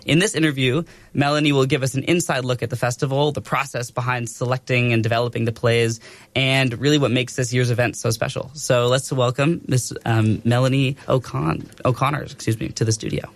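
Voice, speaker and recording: A man's voice: mid-range voice, a little fast, dry recording. Young man hosting radio, dry mic. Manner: relaxed, informal, lots of likes and ums. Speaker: radio host. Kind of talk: casual radio interview. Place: radio studio.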